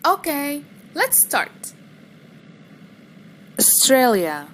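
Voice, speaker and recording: A woman teaching beginner English, speaking slowly and clearly with careful, drawn-out words. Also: sits high, huge pitch swings, a bit bright, soft background music. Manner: slow, deliberate, over-enunciated teaching delivery. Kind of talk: slow scripted lesson narration.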